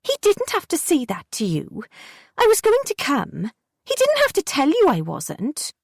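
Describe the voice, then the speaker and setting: A woman voicing a sullen, defiant young girl who talks peevishly and argues back, pitched well above her own voice. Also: huge pitch swings, measured pace, no room sound, studio quality, exaggerated voice. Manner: peevish, sulky, argumentative.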